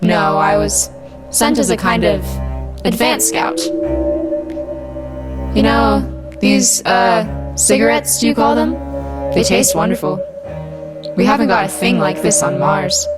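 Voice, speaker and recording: A woman's voice: medium pitch, unhurried, pauses often, lightly acted. A student actress playing a sly, smug male Martian businessman in a radio play, drawling and teasing. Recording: music playing loud behind.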